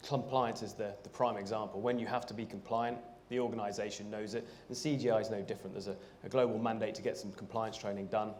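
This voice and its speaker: A man answering on a conference panel in a brisk, confident way. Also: on the low side, steady tone, a little mellow, slight background noise. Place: conference hall.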